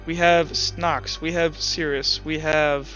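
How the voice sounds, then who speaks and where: Game master, male. High register, sharp bright edge, faint music underneath, casual and halting delivery. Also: level tone, muffled recording. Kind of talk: narrating an online tabletop roleplaying game.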